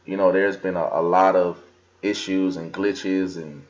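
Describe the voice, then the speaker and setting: A young man with a very low, deep voice talks loosely at his desk, full of ums and restarts. The sound comes through a slightly dull computer microphone. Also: flat delivery, unhurried. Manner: casual, filler-heavy, talks while scrolling and reading aloud. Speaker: crypto vlogger. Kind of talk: casual screen-recorded walkthrough. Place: indoors at a desk.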